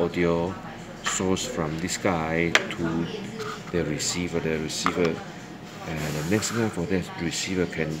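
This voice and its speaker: A man presenting an unscripted hands-on electronics demonstration, halting and repeating words, in non-native, broken phrasing. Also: low voice. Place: indoors at a desk with audio gear. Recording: music playing behind the voice. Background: music from the demonstrated audio player.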